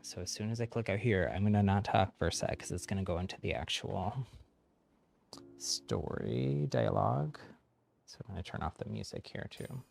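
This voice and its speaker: A male game streamer with a lowish, gravelly voice. He chats casually and slowly, with pitch that moves around a lot. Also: lively intonation, a little mellow, soft background music. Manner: informal, often chuckles between remarks. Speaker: game livestreamer. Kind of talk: casual chatty gameplay commentary.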